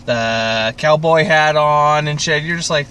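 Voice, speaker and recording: A man hosting a casual podcast chat about a TV show, with crude, profane, animated joking. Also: on the high side, a little slow, clean tone. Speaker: podcast host.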